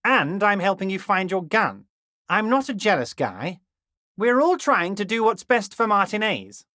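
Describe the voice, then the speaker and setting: An actor playing a smug, falsely friendly, heavyset union boss in a video game, talking in an oily, ingratiating and insinuating way. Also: male voice, sits high, big pitch swings, unhurried, sharp tone, no room sound, clean studio sound, exaggerated voice. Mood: falsely magnanimous. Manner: ingratiating, oily, insinuating, repeatedly addressing listener as a pal. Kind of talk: video game character dialogue.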